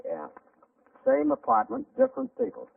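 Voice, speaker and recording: An actor playing a hard-boiled, wisecracking private detective in clipped, sardonic 1940s radio style, on a muffled old broadcast recording. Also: male voice, very high-pitched, wildly swooping pitch, moderate pace, gravelly, husky, no echo, far from the mic, exaggerated voice. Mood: exasperated. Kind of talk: 1940s radio acting, dialogue and first-person narration.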